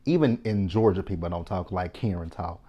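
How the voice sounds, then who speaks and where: Sassy low-voiced Texan man recapping TV. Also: normal speed, mellow, breathy edge, dull audio. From Texas. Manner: chatty, sassy, opinionated, talking straight to viewers. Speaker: TV recap host. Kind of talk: casual TV episode recap.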